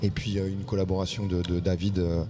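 A man's voice: low-pitched, level tone, brisk, crowd noise. A man interviewing a guest, asking questions hesitantly with many fillers. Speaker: radio interviewer.

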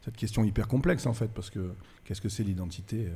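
A man from France with a fairly low, mellow voice talks about his life and music as a radio guest. He speaks reflectively, pausing with fillers as he searches for words. A long hall reverb trails his voice. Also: breathy edge. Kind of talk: reflective interview storytelling. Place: cultural centre venue.

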